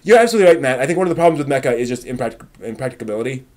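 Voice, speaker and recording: A man hosting a casual live stream, chatting and thinking aloud in a halting way, often trailing off as he answers viewers. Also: mid-range voice, lively intonation, darkish tone.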